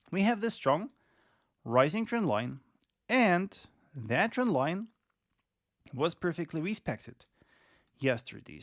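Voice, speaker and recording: A man in his late twenties hosting a live stream and explaining market analysis in a deliberate manner, often repeating phrases. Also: mid-range voice, creaky, no room sound, clean studio sound.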